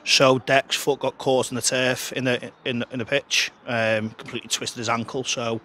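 A man, a football manager giving a frank, chatty post-match interview outdoors by the pitch into a handheld mic, often restarting his sentences. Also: little pitch movement, moderate pace, pushed and bright, a little hiss or hum. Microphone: handheld interviewer mic held close.

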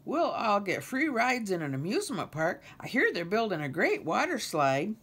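A woman's voice: mid-range voice, sing-song, normal speed, a bit bright, breathy edge, voice pushed up. A woman voicing an easygoing, matter-of-fact male swamp animal in a children's storybook. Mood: breezy, matter-of-fact.

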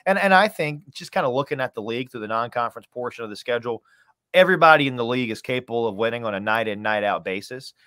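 A man's voice: medium pitch, animated, fast, pushed and bright, smooth, dry recording. A man in his thirties, a sports announcer, giving conversational analysis as a guest on a video call. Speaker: radio play-by-play announcer, podcast guest. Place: small room, indoors, on video call.

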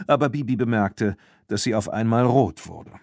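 A man narrating a children's audiobook in an expressive, scripted storytelling style. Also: mid-range voice, normal speed, no room sound, clean studio sound.

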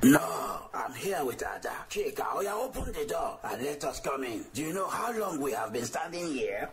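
A stern, impatient uncle with a Nigerian accent, acted by a man. He scolds and commands in a gravelly voice in a radio drama. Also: fairly high pitch, sing-song, moderate pace, crowd noise.

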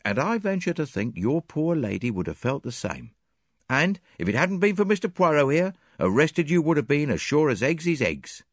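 A man voicing a brisk, plain-spoken English police inspector with a hearty manner. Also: on the high side, sing-song, no room sound, clean studio sound, pitched above the performer's own voice. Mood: blunt.

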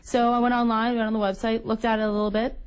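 Fast-talking, enthusiastic young woman. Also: a little high, flat delivery. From Nova Scotia, Canada. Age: 20s. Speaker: interview guest. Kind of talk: casual interview chat.

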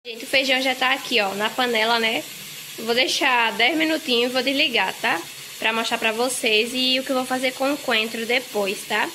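A woman's voice: on the high side, fairly even intonation, normal speed, sharp tone, a little hiss or hum, poor audio. A woman chattily walking through a home recipe in her kitchen, often checking in with the viewers. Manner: chatty, tags phrases with "tá?" and "gente". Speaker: home cook vlogger. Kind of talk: casual cooking narration.

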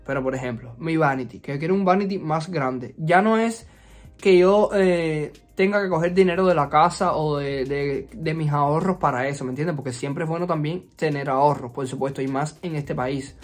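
A man's voice: on the high side, moderate pace, soft background music. A young man with a Cuban Spanish accent, chatting expressively and sassily in a casual storytime vlog. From Cuba. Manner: chatty and expressive, frequent fillers, playful sass. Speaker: YouTuber. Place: resort vacation rental.

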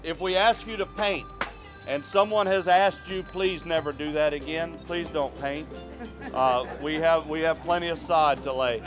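A man, a site supervisor, giving a relaxed, joking briefing to volunteers at an outdoor build site, with many "uh"s. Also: a little high, normal speed. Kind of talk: addressing a volunteer crowd. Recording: crowd and music behind.

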